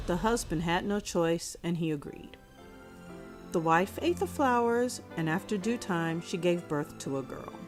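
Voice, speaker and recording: A woman reading a scripted children's fairy tale as a measured storyteller. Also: fairly low pitch. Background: music.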